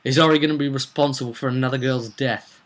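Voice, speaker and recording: A man's voice: mid-range voice, fairly even intonation, fast. A man chatting casually as a game master, recounting a tabletop roleplay session in a rambling, storytelling way. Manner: chatty, slips into acted quotes of characters.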